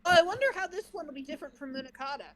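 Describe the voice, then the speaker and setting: A woman co-hosts a casual reaction session. Her voice is high, and she comments playfully in loose, conversational asides. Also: racing. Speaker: co-host reacting to an audio drama.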